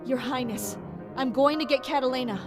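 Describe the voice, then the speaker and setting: A woman in an audio drama playing a loyal royal guard captain, brisk, alert and protective. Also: high voice, music in the background, lightly acted. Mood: urgent, protective.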